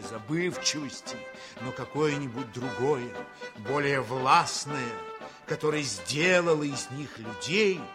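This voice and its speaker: Мужчина торжественно и размеренно читает литературный текст как рассказчик радиоспектакля, с декламационной подачей. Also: male voice, fairly high pitch. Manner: measured, declamatory. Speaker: narrator. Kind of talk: solemn literary radio narration. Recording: orchestral brass music behind.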